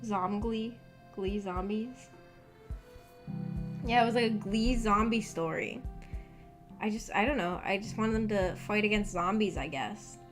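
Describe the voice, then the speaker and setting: A woman's voice: medium pitch, a little slow, long pauses. A woman livestreamer rambling casually to her viewers in a hesitant, self-deprecating way, as the host. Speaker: livestreamer hosting a visual novel book club. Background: background music.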